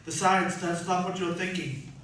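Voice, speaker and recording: Man acting as mind-reading robot, candid and knowing, slightly high voice, very echoey, far from the mic, radio play. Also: fairly even intonation, normal speed, a bit bright. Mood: knowing, teasing. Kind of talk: stage-style radio theatre acting. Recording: echoey room, distant mic, faint noise.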